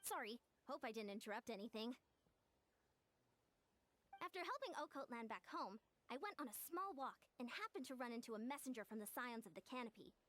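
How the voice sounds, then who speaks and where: An upbeat young female comrade in a video game. Her voice sits on the high side and moves with lots of expression. She sounds breezy, informal and friendly. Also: big pitch swings, fairly quick, a bit bright. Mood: friendly, eager. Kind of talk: scripted video game dialogue.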